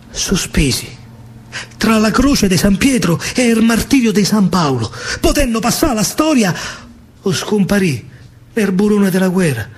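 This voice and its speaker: A man with a Roman accent performing a dramatic verse monologue as a storyteller, declamatory and emphatic. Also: high voice. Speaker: actor and storyteller. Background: music.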